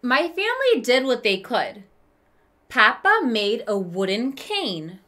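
Slow, bright-voiced woman reading to children. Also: on the high side, sing-song. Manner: deliberate, dramatic storytelling with questions to young listeners. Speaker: teacher reading aloud to children. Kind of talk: expressive children's storybook read-aloud with asides. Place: small room with bookshelf, indoors.

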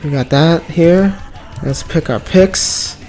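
A man with a slightly raspy voice from a cold, giving upbeat, rambling commentary over mobile card game play. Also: fairly high pitch, slow, a little mellow. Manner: upbeat, filler-heavy running commentary. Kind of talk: casual gameplay commentary. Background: background music.

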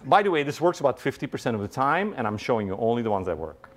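Animated middle-aged man lecturing. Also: mid-range voice, very fast, a crowd in the background. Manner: animated, peppered with "right?", quizzes the audience. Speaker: guest lecturer. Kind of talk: university lecture. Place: large lecture hall with audience.

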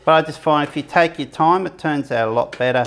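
A man's voice: on the high side, fairly quick, fairly bright tone. A man giving a casual, rambling cooking demonstration for his camping review channel, often restarting his sentences. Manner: chatty, rambling, self-correcting.

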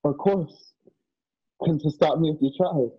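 A man's voice: fairly high pitch, measured pace, slightly rough, lo-fi sound. A man playing an earnest, loyal and naive young companion in a western radio play, with hesitant, stammering delivery. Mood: gentle. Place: home, on a group video call.

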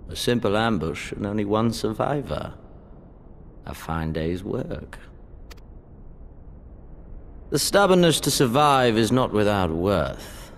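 An actor playing a cold, arrogant young imperial prince in a video game cutscene, speaking in a languid, mocking and menacing way. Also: male voice, on the low side, vocal fry, a little hiss or hum, heavily acted. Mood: languid, contemptuous.

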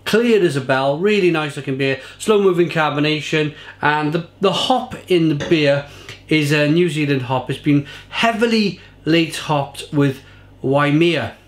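A man's voice: a little high, a little slow, echoey room. A man in his thirties giving an enthusiastic, casual beer tasting review to camera from a home kitchen. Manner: enthusiastic, gestures a lot while describing flavours. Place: home kitchen bar with shelves of bottles, indoors.

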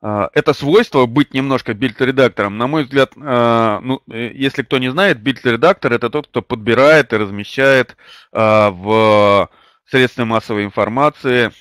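An older man hosting a webinar lecture. He has a slight cold and fills his talk with many drawn-out hesitations. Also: fairly low pitch, fairly bright tone, dry recording, studio quality. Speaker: webinar host and publishing trainer. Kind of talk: webinar lecture with personal anecdote. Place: small indoor room. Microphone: webcam or desk mic at a computer.

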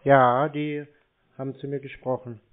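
A man's voice: mid-range voice, flat delivery, measured pace. Rambling, naive-sounding man on the phone. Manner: hesitant, feigned naive, rambling. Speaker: prank caller posing as a customer. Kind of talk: phone prank conversation. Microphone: telephone. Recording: muffled narrow phone line.

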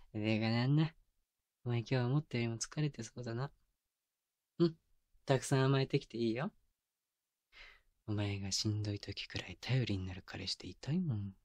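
A man voicing a doting young boyfriend in an intimate ASMR roleplay, murmuring softly and breathily with teasing, coaxing baby talk close to a binaural microphone. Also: mid-range voice, no echo. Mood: tender, coaxing. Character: doting young boyfriend soothing his sleepy partner. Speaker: voice actor. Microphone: close binaural microphone, dry.

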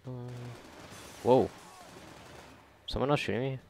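A man giving casual, reactive commentary while gaming, sarcastic and foul-mouthed, with a gravelly voice. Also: medium pitch, unhurried, a little mellow. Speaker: gamer recording a playthrough. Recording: noisy, game gunfire behind voice. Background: video game gunfire and ambience.

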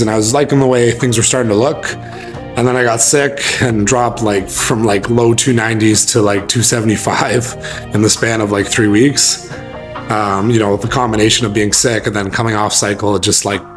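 A man in his thirties, a bodybuilding coach, chatting casually to camera in a small room, his voice a little raspy from a cold, rambling with many fillers. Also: lowish voice. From Canada. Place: small bright room indoors.

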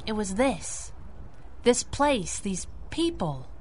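An actress playing a feisty, protective young crimefighting sidekick with slangy, wisecracking tough talk in a radio drama. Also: female voice, medium pitch, sing-song, slow pace, a little mellow, slight background noise. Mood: earnest, heartfelt. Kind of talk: old-time radio drama acting.

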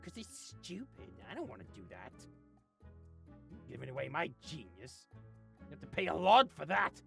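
A performer voicing a grumpy, greedy, scheming little creature in a comedy game series, dramatic and sulky, swinging from mutters to shouts. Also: medium pitch, huge pitch swings, moderate pace, gravelly, over music. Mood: smug. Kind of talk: voice-acted comedy over gameplay.

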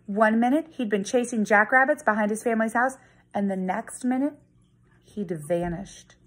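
A woman in her thirties, a teacher, reading a children's story aloud in a dramatic, expressive storytelling manner with deliberate emphasis. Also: medium pitch, husky. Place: living room at home. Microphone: phone or tablet at arm's length.

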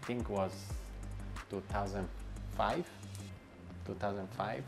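Low-voiced chef pausing with many fillers. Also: male voice, fairly even intonation, gravelly. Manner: hesitant, many fillers and restarts, non-native grammar. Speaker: restaurant owner and chef. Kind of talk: seated interview answers. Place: bright indoor room with light wall. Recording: music bed under voice. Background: background music.

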